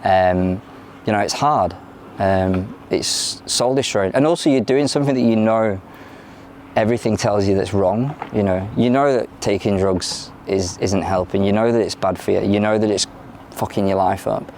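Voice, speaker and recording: A man in his thirties with an English accent from London, born in Ireland, speaking frankly in a candid interview, often pausing and restarting his sentences. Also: fairly low pitch, darkish tone, slight background noise. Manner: frank and plain-spoken, with frequent hesitations and restarts. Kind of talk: candid personal testimony.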